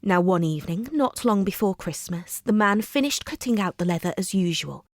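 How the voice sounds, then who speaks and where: A woman narrating a bedtime story in a measured, expressive storybook style. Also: medium pitch, no room sound, studio quality. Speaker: storyteller, reader.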